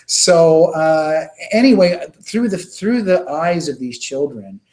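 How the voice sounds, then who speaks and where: A man speaking as an interview guest, recounting experiences in an earnest, halting, informal conversational way. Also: a little high, expressive, darkish tone. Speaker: interview guest, children's music charity worker.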